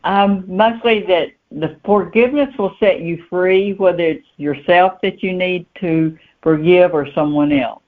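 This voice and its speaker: A woman's voice: on the low side, unhurried, hardly any pauses. Woman interviewee, informal, repeats words while thinking, narrow telephone audio. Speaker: author guest. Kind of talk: casual interview answers. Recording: narrow tinny phone line.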